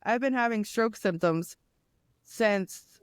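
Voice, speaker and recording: A deep-voiced middle-aged woman with a smooth, full tone tells her own story as a guest. Her sound is close and dry, with no room around it. She talks candidly and lively, laughing between points. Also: takes it slowly, no room sound. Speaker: guest, nurse and grief coach. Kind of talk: casual interview conversation.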